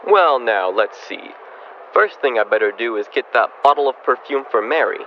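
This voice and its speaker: Man as animated, grumbly middle-aged dad, muffled recording. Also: medium pitch, moderate pace, natural voice. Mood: musing, grumbling. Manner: muttering to himself, grumbling. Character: middle-aged father, grumbly, thrifty Christmas shopper. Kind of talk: old-time radio comedy acting. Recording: dull, band-limited, with clear background noise.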